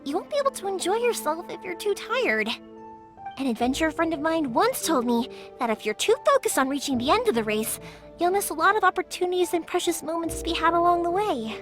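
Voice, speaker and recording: An actress playing a cheerful young woman who makes fireworks, bubbly and quick to laugh. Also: very high voice, big pitch swings, faint music behind. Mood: encouraging.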